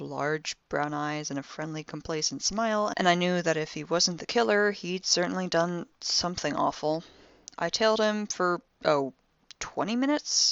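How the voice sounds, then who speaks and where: An actress plays a wry, self-styled young woman detective in an audio drama. Her voice sits fairly low, and she talks conversationally with theatrical dryness. The recording is very dry and slightly dull. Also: gravelly, a bit bright, smooth, no echo. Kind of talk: audio drama monologue as a spoken log.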